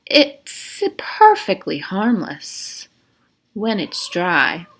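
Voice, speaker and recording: A woman reading aloud the part of a coolly menacing camp warden in a storybook, deliberate and falsely polite. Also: medium pitch, wildly swooping pitch, full character voice. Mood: quietly menacing.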